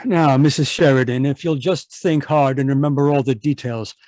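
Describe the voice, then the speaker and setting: A man playing a calm, shrewd police inspector in a radio mystery, with a polite, measured manner. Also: medium pitch, no room sound, faint music behind, close to an everyday voice. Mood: probing, polite. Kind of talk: 1940s radio mystery acting.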